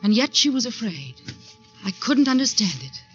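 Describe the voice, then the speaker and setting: A woman's voice: a little high, big pitch swings, moderate pace. An actress playing an ambitious, defiant young woman in advertising who tells her own story in a 1940s radio drama. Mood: brooding. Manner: dramatic, intense first-person storytelling. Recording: dull 1940s radio recording with music.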